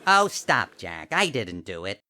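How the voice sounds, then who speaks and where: A man voicing a sharp-tongued, unflappable woman friend in 1940s-style radio comedy, sardonic and brisk. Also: on the low side, big pitch swings, background noise. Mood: defensive.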